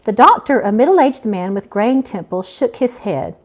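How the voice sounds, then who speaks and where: A middle-aged woman reads fiction aloud in an informal live setting. Her voice sits fairly high and moves with very lively intonation. The delivery is expressive and unpolished, that of an amateur rather than a trained narrator. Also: normal speed. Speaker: author reading own novel aloud. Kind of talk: live amateur audiobook reading with casual asides.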